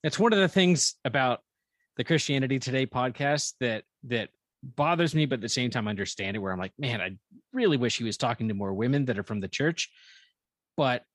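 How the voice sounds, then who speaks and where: A man's voice: mid-range voice, animated, a little fast, no echo. Hesitant male podcast host, dry sound. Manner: hesitant, many restarts and fillers. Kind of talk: conversational interviewing.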